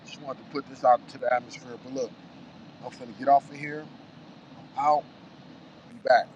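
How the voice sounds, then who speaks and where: A man's voice: mid-range voice, flat delivery, fairly quick, a little mellow, dull audio, a little hiss or hum. A man in his forties talking casually in a loose, reflective monologue, often saying "you know what I'm saying". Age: 40s. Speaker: vlogger.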